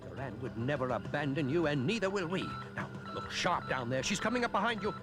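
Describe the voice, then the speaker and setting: Loyal pilot sidekick male actor, animated series: earnest, very expressive, over background score. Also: a little high, brisk, over music, lightly acted. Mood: urgent, concerned. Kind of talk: animated action series dialogue.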